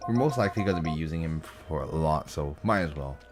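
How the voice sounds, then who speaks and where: A man's voice: very deep voice, expressive, fast, faint music behind. A man casually commenting on a game as he plays it, his voice still recovering with a slight cough. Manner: casual, reads game text aloud with filler and asides. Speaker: let's play host.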